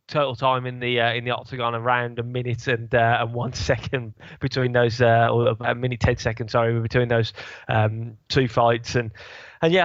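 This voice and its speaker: A man's voice: medium pitch, a bit bright, no room sound, muffled recording. A man with a sore throat co-hosting a fight podcast, chatting casually and rambling with many restarts. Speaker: podcast co-host, fight analyst. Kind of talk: casual chat.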